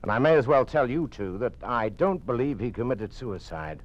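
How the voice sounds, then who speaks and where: A man's voice: mid-range voice, expressive, normal speed, lightly acted. A man playing a defiant, suspicious doctor in a police state, blunt and challenging, in an old-time radio drama. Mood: grave, restrained. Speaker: actor. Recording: dull, lo-fi old radio recording.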